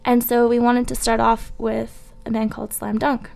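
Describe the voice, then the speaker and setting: A woman's voice: on the high side, monotone, normal speed, gravelly, a little mellow. A woman co-hosting a radio show in casual, unscripted chat, tentative and often trailing into questions.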